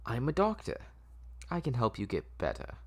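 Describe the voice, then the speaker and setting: A man playing a manipulative mad doctor with a coaxing, drawn-out, falsely soothing manner in an audio story. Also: mid-range voice, huge pitch swings, pitched above the performer's own voice.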